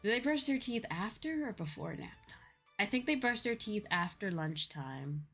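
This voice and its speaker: A woman's voice: mid-range voice, animated, moderate pace, a bit bright, slightly rough. A woman livestreamer chatting casually with viewers, explaining things conversationally and often repeating her points. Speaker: streamer, VTuber host chatting with viewers. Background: background music.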